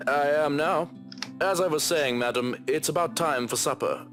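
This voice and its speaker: An actor playing a formal, dryly sardonic butler in a visual novel, with music behind him. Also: male voice, on the high side, normal speed, lightly acted. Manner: formal, dry, deadpan.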